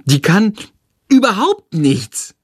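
A man's voice: high voice, sing-song, slow pace, breathy edge, dry recording, clean studio sound, voice pushed up, heavily acted. A man voicing a sulky, whiny little boy in an audiobook. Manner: whiny, pouting. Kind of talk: audiobook character voice.

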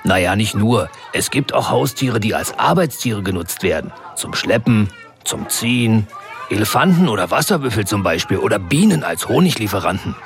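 A man's voice: fairly low pitch, sing-song, normal speed. A man playing a middle-aged kindergarten director in a kids' radio comedy, explaining in a slightly fussy way.

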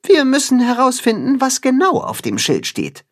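A plucky young storybook chicken, put on by a man, talks in a high, slightly rough voice. The manner is decisive and urging, with lively leaps in pitch. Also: unhurried, full character voice. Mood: thoughtful.

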